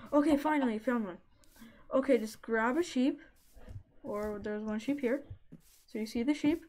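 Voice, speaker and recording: A woman with a somewhat high-pitched voice talks through a game build in a relaxed, chatty tutorial manner. She chats with the animals on screen as she goes. Clear noise and game sounds sit under her voice. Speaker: Minecraft let's play creator. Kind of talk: casual gameplay tutorial narration.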